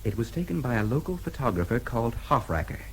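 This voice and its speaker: A man's voice: medium pitch, sing-song, fast, slight background noise, low-quality recording. An actor playing a cool, daring American newspaperman in a radio drama, with an American accent and a laconic, matter-of-fact way of talking. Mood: casual, confident.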